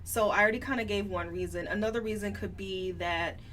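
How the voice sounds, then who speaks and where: A woman's voice: mid-range voice, a little fast, fairly bright tone. A woman co-hosting a book podcast, talking through her doubts in an informal, skeptical way. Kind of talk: casual book discussion. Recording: distant mic, faint background noise.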